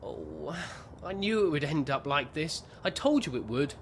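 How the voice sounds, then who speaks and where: Woman doing a shy awkward bloke, low voice, unsure of himself, noisy backdrop, radio play. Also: huge pitch swings, normal speed. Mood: dejected. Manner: hesitant, diffident. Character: shy, awkward, self-doubting man who lives with mother.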